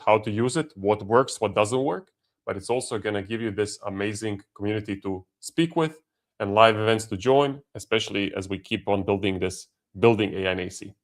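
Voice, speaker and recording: A man hosting a casual video podcast, chatty and quick to laugh, talking in loose restarts. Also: on the low side. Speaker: podcast host.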